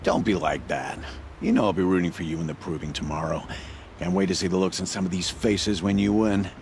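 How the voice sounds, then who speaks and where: A furtive trader of a game-world tribe, with a low-pitched voice. He is sly yet friendly and speaks confidingly, over faint background noise. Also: a little fast, a little mellow. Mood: friendly, encouraging. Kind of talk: video game voice acting.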